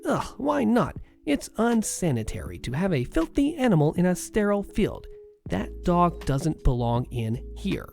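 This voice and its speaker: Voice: sing-song, a little slow, creaky, a little mellow, faint music behind. A storyteller voicing a rude, entitled middle-aged woman patient who screeches and sneers. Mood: scornful. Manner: shrill, screeching and scornful.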